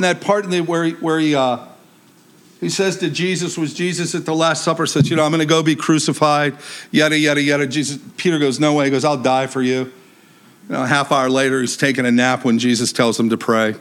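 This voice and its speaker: Preacher, man, high pitch, big voice, animated conversational sermon.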